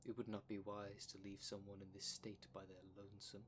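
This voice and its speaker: Man playing a courteous, low-voiced pedantic dream demon. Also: completely flat delivery, fairly quick, a bit bright, faint music behind, lightly acted. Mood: pedantic, concerned. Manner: formal, wordy, precise diction. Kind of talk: ASMR roleplay audio drama.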